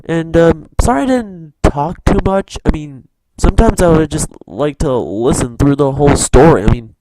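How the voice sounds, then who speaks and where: A man's voice: on the high side, expressive, normal speed, very noisy. A man giving casual, informal reaction commentary in a hesitant, rambling way as host. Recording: music playing behind the voice.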